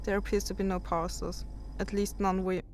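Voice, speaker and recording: A woman playing a tense space explorer in an audio drama, giving a halting spoken progress report. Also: medium pitch, level tone, a little mellow, slight background noise, close to an everyday voice. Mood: uneasy, focused. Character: tense female space explorer searching a dead station.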